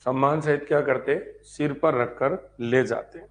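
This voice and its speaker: A man's voice: medium pitch, fairly even intonation, unhurried. A man teaching an exam-prep history lecture, explaining step by step, repeating phrases as if dictating and asking check questions.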